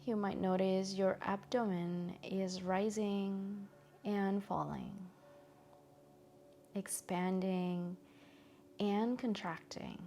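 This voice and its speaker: A woman guiding a meditation in a gentle, soothing, soft-spoken way with long pauses. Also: mid-range voice, steady tone. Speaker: meditation guide. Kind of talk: guided meditation narration.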